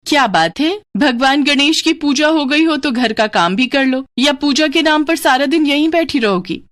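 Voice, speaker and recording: A woman voicing a scornful, nagging grown sister-in-law with a loud, taunting delivery. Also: high-pitched, lively intonation, normal speed, no echo, studio quality, voice pushed up.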